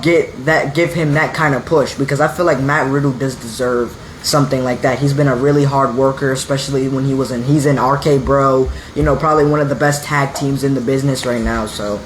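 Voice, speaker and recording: A man's voice: medium pitch, fairly even intonation, fairly quick, hardly any pauses. A young man co-hosting a casual wrestling podcast, talking in a loose, rambling way full of "like" and "you know.". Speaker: podcast co-host. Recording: echoey room with faint hum.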